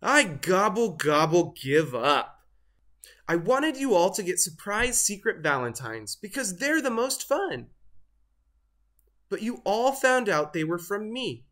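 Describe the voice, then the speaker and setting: A storyteller voicing an excitable, dramatic storybook turkey who gobbles, wails and exclaims. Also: mid-range voice, takes it slowly, pitched above the performer's own voice. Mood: sighing, defeated. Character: excitable turkey, dramatically dejected when found out.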